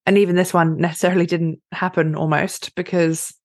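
A woman hosting her own podcast, a life coach giving a chatty, upbeat solo pep talk with a slightly husky, raspy voice. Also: on the low side, level tone, a little fast, no echo, studio quality.

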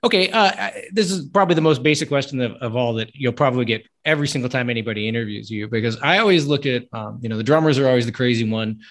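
Fast-talking male interviewer. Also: mid-range voice. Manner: chatty, asks questions with small laughs. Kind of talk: casual interview conversation.

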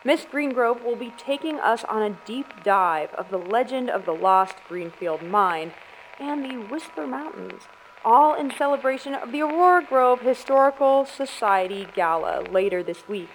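A woman's voice: a little high, slight background noise, close to an everyday voice, poor audio. A woman acting a composed public radio host reading a formal, scripted news report in an audio drama. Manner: measured, formal, announcer-like.

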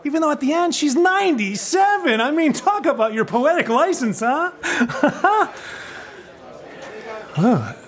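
A man's voice: very high-pitched, very animated, a little slow, a bit bright, full character voice. An actor playing a pushy, glib theatre adviser in a comic podcast play, flippant and condescending like a salesman. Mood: selling, coaxing. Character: pushy, glib male theatre pro giving advice.

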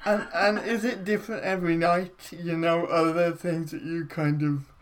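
A man's voice: on the high side, flat delivery, normal speed, a little hiss or hum. A man interviewing an actor, speaking thoughtfully and reflectively, with frequent small affirmations. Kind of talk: casual interview.

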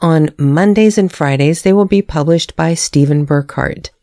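Woman podcast host, candid and self-mocking, gravelly low voice, close and dry. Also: normal speed, dark tone, dry recording. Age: adult, married. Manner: candid, self-deprecating, laughs at herself. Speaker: author hosting her own podcast. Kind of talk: reading a prepared personal talk.

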